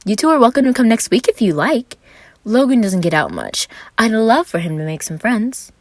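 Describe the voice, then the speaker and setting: A woman acts out an exuberant young man in an audio story. He talks in a flowery, theatrical way, pitched well up and projected, full of boastful delight. The recording is dry and close. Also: very animated, normal speed, no room sound. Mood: friendly, upbeat. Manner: dramatic, flowery, effusive. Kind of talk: fan fiction audio reading.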